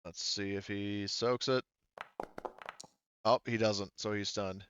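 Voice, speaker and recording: A man acting as game master of a tabletop roleplaying game, talking casually and haltingly as he thinks aloud. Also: on the low side, fairly even intonation, a bit bright, smooth, no echo, dull audio.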